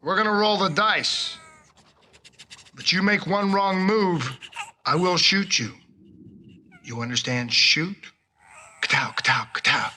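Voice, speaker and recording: An actor playing a wry, sardonic human astronaut in a science fiction television drama, talking in short simple phrases. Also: male voice, sits high, slow, pauses often, sharp tone, exaggerated voice. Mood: wary, threatening. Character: wry male human astronaut, bargaining with an alien creature. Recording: noisy TV episode clip.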